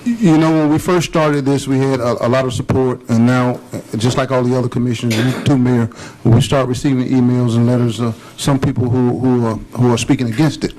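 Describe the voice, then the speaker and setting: A man's voice: mid-range voice, fairly even intonation, fairly quick, hardly any pauses, warm tone, low-quality recording. A man serving as city commissioner, speaking earnestly and emphatically at a public meeting. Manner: earnest, emphatic, plain-spoken.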